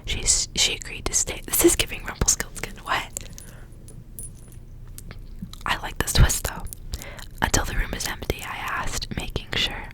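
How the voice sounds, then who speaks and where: A woman's voice: a little slow, long pauses, poor audio. Whispering woman, ASMR reading. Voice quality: soft breathy whisper. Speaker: ASMR reader and host. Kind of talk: whispered ASMR story reading with casual asides.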